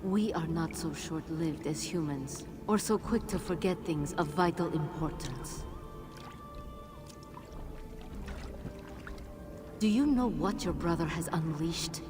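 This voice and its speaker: A woman's voice: low-pitched, normal speed, hardly any pauses, natural voice. An actress playing an ancient, regal jinn queen in a video game, speaking in a stately, solemn way. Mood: solemn, grave. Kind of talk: video game cutscene acting. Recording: prominent game music behind the voice. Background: cinematic music.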